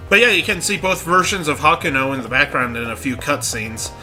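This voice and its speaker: A man's voice: on the high side, steady tone, a little fast, bright, forceful tone, soft background music, low-quality recording. A congested man giving casual, joking commentary over a game playthrough. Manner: joking, offhand asides. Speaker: let's play host.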